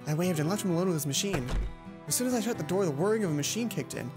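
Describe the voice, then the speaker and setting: A woman reading a visual novel aloud as a let's play host, expressive and playful, acting out the dialogue. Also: lowish voice, racing. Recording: music behind the voice. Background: game music.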